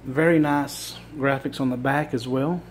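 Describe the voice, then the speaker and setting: A man with a Virginia accent, losing his voice and clearing his throat, chatting casually to a phone camera indoors as he shows off thrift finds. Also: medium pitch, fairly even intonation, unhurried, a little hiss or hum. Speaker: thrift reseller vlogger. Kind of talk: casual show-and-tell vlog. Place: indoor room with items on a table. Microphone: phone camera held while filming.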